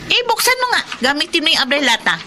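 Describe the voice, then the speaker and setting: A woman's voice: high voice, very animated, fast talker, background noise. A woman playing a brazen, sly middle-aged eatery owner in a radio drama, brusque and exclamatory, with a Filipino accent. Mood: bossy, unbothered. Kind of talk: Tagalog radio drama acting.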